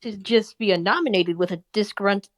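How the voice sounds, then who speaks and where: A woman's voice: medium pitch, normal speed, close to an everyday voice. A woman playing a proud, pompous scientist in a cartoon table read, formal and self-satisfied. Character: proud, pompous scientist giving an award speech.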